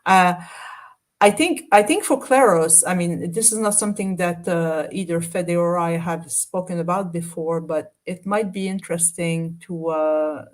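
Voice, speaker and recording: A woman speaking as a panelist in an informal discussion, putting her points forward tentatively and deliberately. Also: lowish voice.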